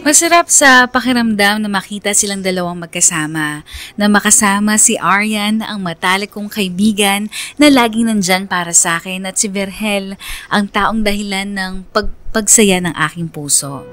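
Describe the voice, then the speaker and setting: A woman's voice: medium pitch, moderate pace, fairly bright tone, close to an everyday voice. A woman playing a lovestruck, conflicted young woman narrating her own story in a confiding radio drama style. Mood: tender, lovestruck. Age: young adult. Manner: confiding, earnest.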